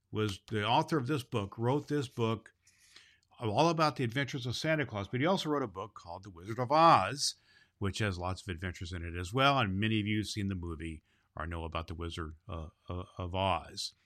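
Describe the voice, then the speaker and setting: A man's voice: lowish voice, fairly quick, fairly bright tone, lightly acted. A man playing a jolly, loving Santa Claus, hosting a children's holiday show in a folksy, exclamatory way. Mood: warm, storytelling. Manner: exclamatory, folksy, repeats phrases. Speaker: podcast host.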